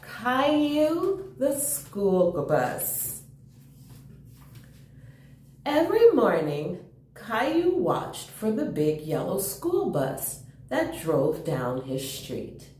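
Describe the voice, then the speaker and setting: A woman's voice: mid-range voice, big pitch swings, drawn-out words, darkish tone, a little hiss or hum. A woman hosting a children's storytime, reading aloud and chatting with young listeners in an enthusiastic, question-filled way. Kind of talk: animated children's read-aloud with chatty asides. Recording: roomy, echoing sound.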